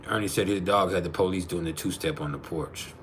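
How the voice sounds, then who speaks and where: Male livestreamer, low natural register, loose slangy delivery with blunt cursing, music underneath. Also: a little fast, fairly bright tone, slight background noise. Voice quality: naturally deep voice. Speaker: livestream host. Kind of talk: casual live chat with viewers.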